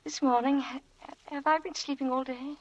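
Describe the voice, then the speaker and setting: Woman acting an anxious, high-voiced radio-drama wife. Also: steady tone, normal speed, dull audio, natural voice. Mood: apprehensive. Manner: hesitant, tremulous, pleading. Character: anxious, fearful young wife.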